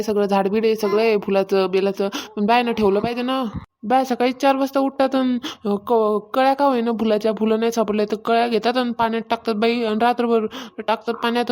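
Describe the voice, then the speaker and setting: A woman's voice: a little high, fairly even intonation, brisk, a little mellow, natural voice. A woman playing an aggrieved village housewife in a cartoon comedy, complaining colloquially with a rural Maharashtrian accent. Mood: chatty, complaining. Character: aggrieved village housewife fretting over her worship. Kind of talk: amateur cartoon comedy dialogue.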